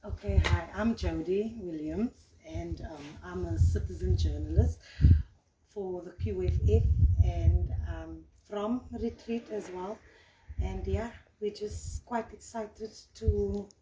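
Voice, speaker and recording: A woman addresses a small community gathering, pausing often on fillers. She is heard in a very reverberant room, well away from the microphone. Steady background noise sits under her voice. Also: medium pitch. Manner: hesitant, many fillers. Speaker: citizen journalist. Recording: echoey room, distant mic, background noise.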